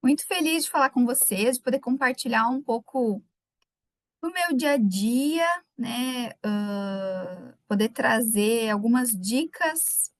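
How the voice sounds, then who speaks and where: Casting: a woman with a slightly high voice and a light Gaúcho accent. She is a chatty webinar host who greets viewers by name. Dry, close computer mic. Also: expressive, takes it slowly, no room sound. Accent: light Gaúcho Brazilian Portuguese. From Rio Grande do Sul, Brazil. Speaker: webinar speaker. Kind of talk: live webinar talk.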